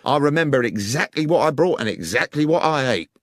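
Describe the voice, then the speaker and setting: Man playing railway guard, working man, earnest, anxious, deferential, animated intonation, audiobook mystery, dry recording. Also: on the high side, fairly quick, dry recording, pitched above the performer's own voice, close to an everyday voice. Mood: earnestly protesting. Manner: respectful, protesting. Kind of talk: dramatised audiobook dialogue.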